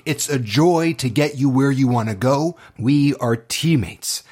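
A man's voice: medium pitch, measured pace, darkish tone, husky. A man speaking as an acting teacher, telling personal stories to motivate students in an earnest, impassioned way with dramatic, emphatic phrasing.

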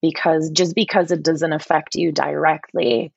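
A woman's voice: low voice, level tone, moderate pace, creaky, darkish tone, slightly rough, highs cut off. A woman from Puerto Rico, a podcast guest, speaking earnestly and passionately, often repeating words as she starts a thought. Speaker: podcast guest, yoga teacher. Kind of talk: casual podcast conversation.

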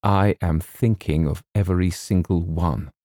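Man acting a stiff, scornful deep-voiced writer. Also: fairly even intonation, measured pace, mellow, dry recording, studio quality. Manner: cold, stern, clipped disdain. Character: stiff, scornful male detective-story writer.

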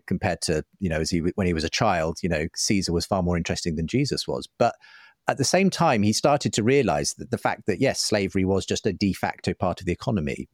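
A man's voice: low-pitched, no echo, studio quality. A man speaking as an interview guest, explaining ideas in an articulate, discursive, conversational way. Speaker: interview guest, writer and broadcaster.

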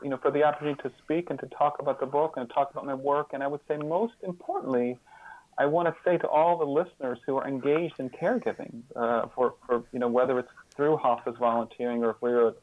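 A man speaks as a guest in an interview, earnest and conversational. He often hesitates and restarts while looking for the right word. His middle-pitched voice is recorded dry, with a dull, lo-fi sound. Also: fairly even intonation, dry recording. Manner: halting, hesitant, searching for words with repeated false starts. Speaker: podcast guest, author and caregiving mentor.

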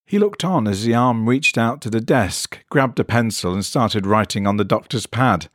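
A man narrates a short story in a low voice. His delivery is animated, with wide swings in pitch, and the sound is dry and close. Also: normal speed, no echo. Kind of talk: expressive scripted story narration.

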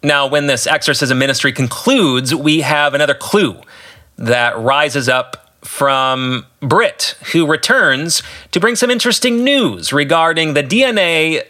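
Male podcast host, higher-set and very bright voice, earnest explanatory commentary. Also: a little slow. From United States. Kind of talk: solo podcast book commentary.

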